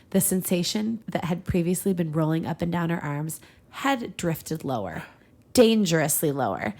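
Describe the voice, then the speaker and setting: A woman's voice: lowish voice, darkish tone. A woman co-hosting a comedy podcast, moving between casual banter with friends and reading a story aloud. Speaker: podcast co-host.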